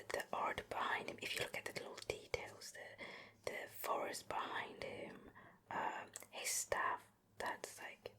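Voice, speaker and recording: A woman hosting an ASMR show and tell in a soft, breathy whisper, chatting casually and unhurriedly close to the microphone. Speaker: ASMR host. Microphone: close ear-to-ear microphone.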